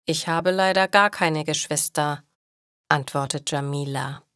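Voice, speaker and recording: Low-pitched woman reading very slowly. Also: fairly bright tone, no echo, clean studio sound. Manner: measured, carefully enunciated reading. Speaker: story narrator for language learners. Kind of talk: slow, clear scripted narration of learner stories.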